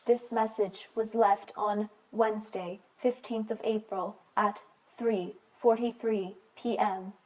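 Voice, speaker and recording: A woman's voice: medium pitch, fairly even intonation, slow, darkish tone, lightly acted, poor audio. A woman voicing an impersonal answering machine announcer, formal and matter-of-fact, in a radio play. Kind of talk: scripted voicemail time announcements.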